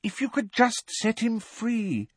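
A man voicing an earnest, gentle teenage girl in a storybook, tentative and pleading. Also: high voice, very animated, takes it slowly, husky, dry recording, pitched above the performer's own voice, full character voice.

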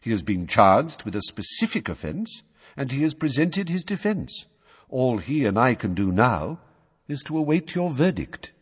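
Man doing Dumbledore, a calm courteous old wizard. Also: medium pitch, breathy edge, pitched above the performer's own voice. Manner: measured, courteous, unhurried. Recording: long hall reverb.

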